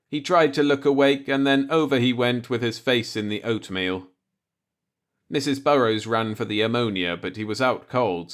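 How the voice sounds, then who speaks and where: A man reading a short story aloud as an audiobook narrator, in a measured storytelling manner. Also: medium pitch, fairly bright tone. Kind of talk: scripted narration, read-along short story.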